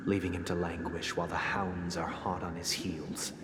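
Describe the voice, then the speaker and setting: An actor playing a solemn noble man who heads a family, telling stories in a measured, formal way with soft, hushed phrases. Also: low-pitched, completely flat delivery, heavy background noise, natural voice. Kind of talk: game dialogue voice acting. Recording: reverberant with game music.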